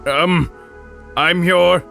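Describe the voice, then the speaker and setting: High, gravelly, terrified prisoner. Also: male voice, fairly even intonation, sharp tone, faint music behind, exaggerated voice. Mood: nervous. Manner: halting, stammering. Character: battered, terrified male prisoner pleading feebly. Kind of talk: anime parody dub.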